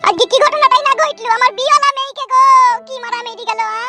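A performer voicing a shrill nagging mother. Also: steady tone, fast talker. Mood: scolding. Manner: scolding, shrill bickering. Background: background music.

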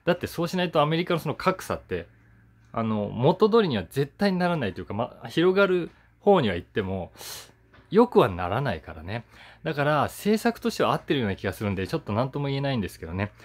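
A man's voice: mid-range voice, sing-song, fast. A man in his forties chatting casually to camera in a musing, conversational way, stressing the starts of his sentences. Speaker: voice actor hosting his own talk channel.

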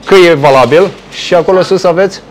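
A middle-aged man reporting from inside a delicatessen, joking and teasing as he questions the staff. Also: high voice. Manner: jokey, teasing, animated. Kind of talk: lively walk-through interview in a shop. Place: small delicatessen shop indoors.

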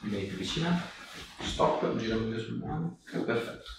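A man working as a chiropractor, giving a patient brief, reassuring instructions in a creaky voice. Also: mid-range voice, lively intonation, moderate pace. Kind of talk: giving short hands-on instructions to a patient during an exam. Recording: echoey room, distant mic, background noise.

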